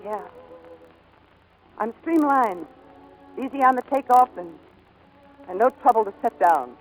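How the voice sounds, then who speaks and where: A woman's voice: fairly high pitch, animated, measured pace, faint music behind. An actress playing a plucky young woman pilot in 1940s radio drama, hesitant and wistful behind brave wisecracks. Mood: hurt, wry. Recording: noisy vintage 1940s radio broadcast.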